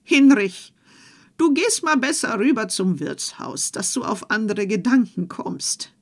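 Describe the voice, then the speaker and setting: An anxious farmer's wife speaks urgently in a raised voice, as a woman reads her. The tone is breathy and startled. She belongs to a storybook folk tale. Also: a little high, big pitch swings, a little slow, pitched above the performer's own voice, exaggerated voice.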